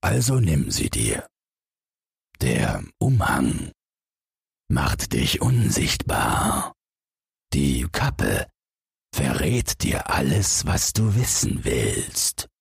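Man voicing a slow, husky, cowardly giant. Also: extremely low pitch, huge pitch swings, dry recording, clean studio sound, pitched below the performer's own voice, heavily acted. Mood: reluctant, grave. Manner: slow, ponderous, plaintive. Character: big cowardly, gullible three-headed male giant. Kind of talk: storybook character voice.

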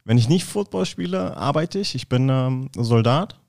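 A man's voice: mid-range voice, moderate pace, gravelly. A man in his thirties from Berlin, a football player talking casually as a podcast guest, with frequent fillers and restarts. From Berlin, Germany. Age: 30s. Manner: relaxed, many fillers and restarts. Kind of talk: casual interview chat.

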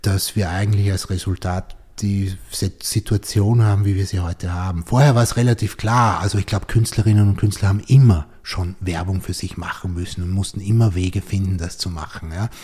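Low, husky man hosting. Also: steady tone, fairly quick, few pauses, dark tone. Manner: reflective, thinking aloud. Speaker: podcast host. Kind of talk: conversational interview.

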